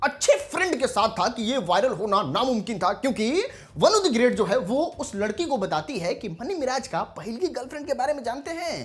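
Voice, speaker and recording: A woman's voice: a little high, sing-song, brisk. A woman giving informal gossip commentary to viewers in a dramatic, emphatic, teasing manner. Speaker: commentary channel host.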